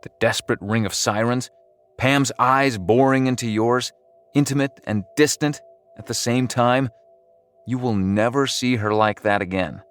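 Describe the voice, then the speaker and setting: A man's voice: medium pitch, measured pace. A man reading scripted second-person horror-comedy narration in a wry, dramatic storytelling manner. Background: music under the voice.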